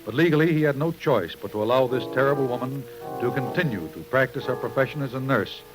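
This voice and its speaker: Male mystery host: medium pitch, solemn dramatic storytelling with music underneath, vintage radio. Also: fast talker, poor audio. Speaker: narrator and host of detective drama. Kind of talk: dramatic scripted 1950s radio narration.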